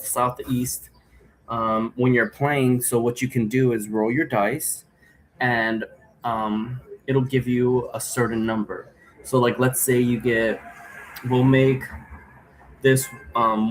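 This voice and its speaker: A man's voice: mid-range voice, rough recording. A man in his thirties talks through rules informally, with a mid-range voice. He rambles, trails off and picks the thread up again, unscripted. There is a light layer of noise in the recording. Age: 30s. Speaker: game creator explaining rules. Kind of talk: casual, unscripted explainer talk. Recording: noticeable background noise.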